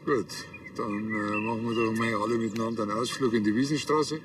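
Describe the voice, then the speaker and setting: Actor as grumbling Bavarian cop, roomy sound. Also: male voice, fairly low pitch, fairly even intonation, brisk, a little hiss or hum, lightly acted. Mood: deadpan. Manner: grumbling, laconic, colloquial. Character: grumpy, sarcastic small-town male policeman. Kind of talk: naturalistic TV crime comedy acting.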